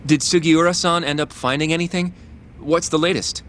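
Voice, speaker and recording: Casting: male actor as earnest game sidekick, questioning, raised pitch, faint ambience behind. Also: fairly even intonation, brisk, fairly bright tone, a little hiss or hum, lightly acted. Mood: surprised. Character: earnest, inquisitive male associate, easily surprised. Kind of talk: video game cutscene acting.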